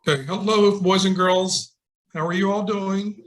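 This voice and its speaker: A man's voice: high-pitched, fairly even intonation, a little slow. A middle-aged man, a nurse, reading a picture book to young children and putting simple questions to them. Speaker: nurse and guest storybook reader. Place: indoors on a video call. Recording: noisy video call with clicks.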